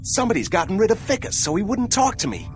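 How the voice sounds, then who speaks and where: A man's voice: high voice, very animated, fast talker, faint music behind. A man voicing an eager, glib young male robot reporter in a video game, inquisitive and bouncy. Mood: cocky, glib. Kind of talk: scripted game dialogue.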